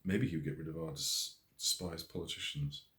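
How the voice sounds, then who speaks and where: A man's voice: rumbling low, fairly even intonation, moderate pace, sharp tone, echoey room. A man voicing an affectionate, teasing woman in an audiobook story, talking in a fond, wry way. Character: affectionate, teasing woman chatting with lifelong friend.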